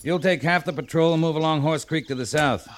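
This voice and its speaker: An actor playing a weary, authoritative cavalry captain in a 1950s radio western, with a clipped, commanding delivery. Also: male voice, a little high, fairly quick, close to an everyday voice. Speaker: radio drama lead actor. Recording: noisy old radio recording.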